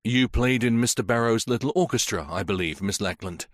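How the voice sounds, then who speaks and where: A man voicing a calm, methodical police inspector who questions in a measured, polite way. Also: lowish voice, no room sound, studio quality, close to an everyday voice.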